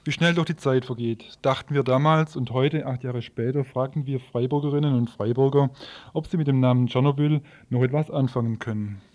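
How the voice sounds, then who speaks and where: A man's voice: medium pitch, steady tone, fairly quick, darkish tone. A man reading scripted teasers for a radio news programme in a studio.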